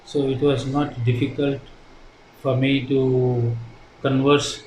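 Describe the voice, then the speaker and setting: An older Indian man, Hindi his first language, answers questions earnestly and haltingly, stopping often to restart his sentences. His pitch sits in the middle of the range. The recording is echoey, with a faint hiss behind him. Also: little pitch movement, darkish tone. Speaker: interviewee. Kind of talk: casual interview answers. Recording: echoey room with faint noise.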